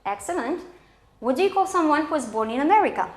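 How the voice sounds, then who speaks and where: A woman's voice: sits high, very animated, quick pace, slight background noise. A woman with a Romanian accent presenting a scripted English lesson for schoolchildren, enunciating each example in a didactic way. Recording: echoey, roomy sound.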